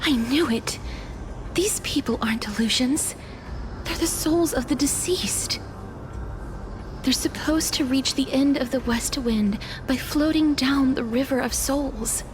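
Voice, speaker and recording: Soft, very slow gentle maiden. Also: female voice, high-pitched. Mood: pensive. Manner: soft, measured, formal, breathy phrase endings. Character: gentle young woman who guides souls, solemn and polite. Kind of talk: video game voice acting. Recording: background music under the voice.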